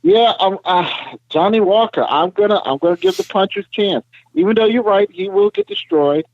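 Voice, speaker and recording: A man co-hosting a casual podcast, talking through fight picks in a playful, animated way. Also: high-pitched, normal speed, gravelly, no echo, narrow, tinny audio. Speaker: podcast co-host.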